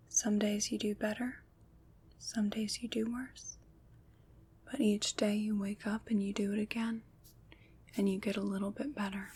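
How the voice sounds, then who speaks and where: A woman playing a kind, soothing witch in a gentle, breathy ASMR whisper, pausing between phrases to reassure. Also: medium pitch, lightly acted. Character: kind, soothing witch comforting someone troubled by nightmares. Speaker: ASMR performer. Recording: clear background noise.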